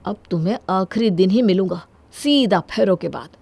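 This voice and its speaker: A woman's voice: medium pitch, lively intonation, normal speed, creaky, a little mellow, breathy edge, no room sound. A woman voicing a proud, distraught young husband in an emphatic, melodramatic story performance. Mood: bitter sarcasm.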